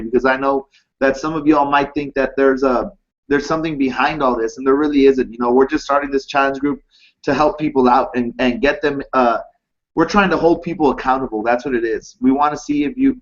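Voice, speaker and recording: A man's voice: medium pitch, lo-fi sound. A thirty-year-old man, a teacher and coach, talking earnestly and reassuringly with many fillers on a live video call. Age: 30s.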